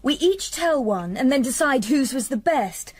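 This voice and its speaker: An actress playing a playful, coaxing, well-bred young English stepdaughter in a radio drama, eager and well-spoken. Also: female voice, high voice, expressive, moderate pace, slightly rough, no room sound. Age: young adult.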